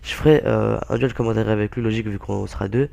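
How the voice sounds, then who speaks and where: A young man with a hoarse, blocked-nose voice from a cold, giving halting, casual gameplay commentary full of fillers. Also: fairly low pitch, steady tone, warm tone. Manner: halting, many fillers and restarts. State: hoarse, stuffy-nosed with a cold. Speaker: gaming YouTuber.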